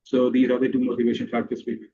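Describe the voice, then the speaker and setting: A man's voice: mid-range voice, completely flat delivery, racing, warm tone, breathy edge, highs cut off. A university student in his twenties, calling in with a question and speaking plainly and earnestly. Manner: plain, earnest, calls the host bro. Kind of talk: casual call.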